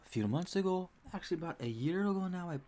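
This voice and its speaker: A man's voice: sits high, lively intonation, quick pace, noisy background. A man hosting a casual livestream, joking and talking to his viewers in a laid-back way. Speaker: livestream host.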